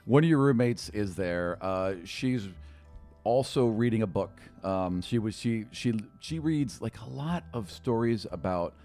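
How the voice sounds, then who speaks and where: A man's voice: fairly low pitch, animated, measured pace, darkish tone, soft background music. A middle-aged man narrating a tabletop game casually as game master, close to the microphone. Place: small indoor studio room. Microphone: close desk microphone on stand.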